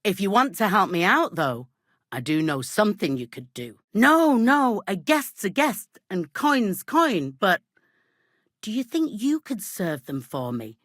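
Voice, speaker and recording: A woman's voice: mid-range voice, very animated, unhurried, a bit bright, no room sound, clean studio sound. Blunt medieval innkeeper woman. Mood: uneasy, asking favour. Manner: blunt, earthy, colloquial scolding and gossip. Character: brusque, gossipy medieval village innkeeper woman, scolding and world-weary. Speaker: video game voice actor. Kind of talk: video game character dialogue.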